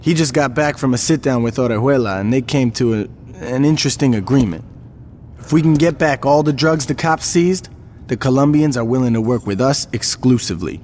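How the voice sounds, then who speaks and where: An actor playing a brash young gang lieutenant in a game cutscene, talking in casual street slang. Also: male voice, medium pitch, fairly quick, darkish tone, a little hiss or hum, lightly acted. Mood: businesslike. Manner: informal, slangy. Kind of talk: video game cutscene acting.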